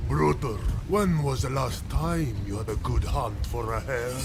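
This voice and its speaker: An extremely slow, raspy-edged voice as a brutal barbarian raider. He gloats and mocks with menace, audio-drama style, over clear background music. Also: medium pitch. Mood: menacing, amused. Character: savage, mocking Norse-style raider warrior, male. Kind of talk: fantasy audio drama acting. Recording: loud music bed behind the voice.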